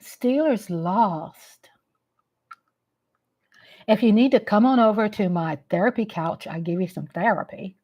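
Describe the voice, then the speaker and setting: A woman's voice: medium pitch, measured pace, pauses often, lo-fi sound. A woman from the American South with a Texas accent, hosting a casual live chat stream from home in a playful, teasing way.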